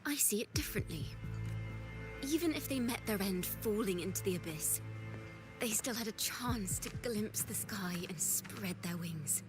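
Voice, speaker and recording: An actress playing an earnest, defiant young woman poet, speaking in measured, dramatic lines for a video game. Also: mid-range voice, lively intonation, close to an everyday voice. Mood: firm, assured. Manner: declamatory, measured, poised storytelling. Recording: game music under the voice. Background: loud game music.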